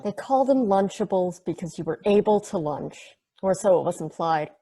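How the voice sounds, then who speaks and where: A woman's voice: lowish voice, lively intonation, moderate pace, mellow. A woman playing a laid-back, food-obsessed space probe in an amateur table read of a play. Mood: casual musing.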